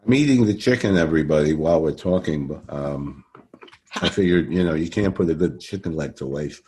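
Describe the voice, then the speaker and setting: A man's voice: rumbling low, a little fast, mellow, slight background noise. A man in his sixties interviewing casually, rambling and repeating his phrases as he starts. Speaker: podcast interviewer.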